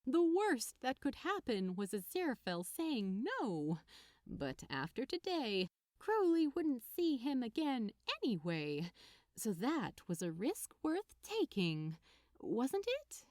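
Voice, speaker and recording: Woman narrating fiction with animated, slow delivery. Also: mid-range voice, no echo, studio quality. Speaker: narrator, fan fiction reader. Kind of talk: expressive scripted story narration.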